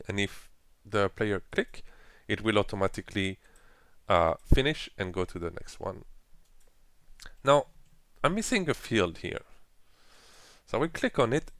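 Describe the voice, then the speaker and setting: Gravelly low-voiced man walking through software. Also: dry recording, clean studio sound. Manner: casual, explanatory, with frequent fillers and non-native phrasing. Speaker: software tutorial presenter. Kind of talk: screen-recorded software walkthrough.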